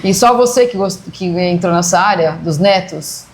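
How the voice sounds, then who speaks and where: A woman hosting an interview, asking curious questions and reacting with short, warm remarks. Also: on the low side, normal speed, a little hiss or hum. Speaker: interview host. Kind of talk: casual interview conversation.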